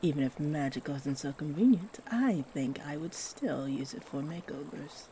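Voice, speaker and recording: A woman voicing a kindly fairy in a fantasy roleplay, gently encouraging and fussing over the listener with sweet endearments. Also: low-pitched, moderate pace, slight background noise. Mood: warm, helpful. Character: kindly, doting fairy who gives magical makeovers. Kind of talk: fantasy roleplay audio drama, addressing the listener.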